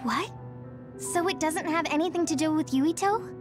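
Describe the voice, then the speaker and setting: A high-voiced, sincere young heroine. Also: female voice, moderate pace. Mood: thoughtful. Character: sincere, hopeful young female squad mate. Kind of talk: video game cutscene acting. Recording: music clearly behind the voice.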